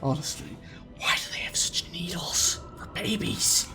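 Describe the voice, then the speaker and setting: A man with a fairly high voice hosts a gaming video in a casual, rambling way. He breaks off his own thoughts and uses playful turns of phrase. Game music plays clearly behind him. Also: sing-song, a little slow, pushed and bright, whispered. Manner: rambling, self-interrupting, playful wording. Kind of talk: casual let's play commentary.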